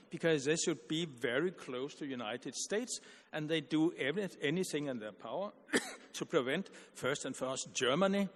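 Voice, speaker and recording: A man giving an earnest, argumentative conference panel speech, halting with frequent restarts and repeated words. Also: on the high side, long reverb tail.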